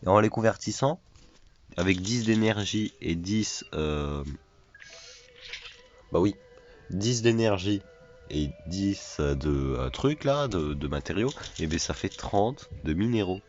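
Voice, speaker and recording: A man's voice: deep voice, lively intonation, slow, a little mellow, lo-fi sound. A man with a cold giving chatty, self-mocking commentary over a survival video game, often repeating his phrases. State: has a cold. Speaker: gaming YouTuber. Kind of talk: casual let's play commentary.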